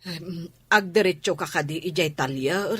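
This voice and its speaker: A woman's voice: fairly low pitch, normal speed, close to an everyday voice. An actress playing a worried, protective mother in a radio drama, pleading in a fretful, hesitant way.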